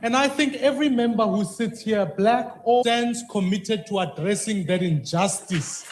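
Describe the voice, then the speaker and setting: A man, questioning the president in formal, measured parliamentary style. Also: sits high, slight background noise. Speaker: member of parliament. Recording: echoey parliamentary chamber.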